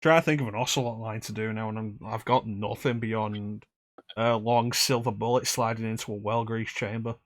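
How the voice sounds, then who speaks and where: A man's voice: on the low side, steady tone, moderate pace. A young man hosting a game stream, chatting casually in a rambling, self-deprecating way. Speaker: streamer host. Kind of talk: casual chat while gaming.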